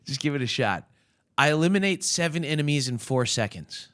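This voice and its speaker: A man's voice: a little high, expressive, brisk, fairly bright tone. A man chatting casually as an interviewer and quiz host. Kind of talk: casual chat.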